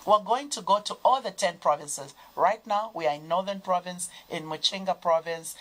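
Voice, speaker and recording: A woman, a guest in a studio discussion, explaining in a measured way. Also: fairly low pitch, low-quality recording.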